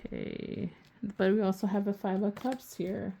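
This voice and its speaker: Gravelly, rambling woman tarot reader. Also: medium pitch, steady tone, normal speed, mellow, a little hiss or hum. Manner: rambling, often restarts sentences; swears casually. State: losing her voice. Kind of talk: casual tarot reading to camera.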